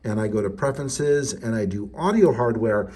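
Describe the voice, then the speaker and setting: A man's voice: on the low side, animated, moderate pace, a little mellow. A man instructing in a casual, conversational tutorial, chatty and playful, speaking straight to the viewer and voicing their imagined questions. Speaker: instructor presenting a how-to video.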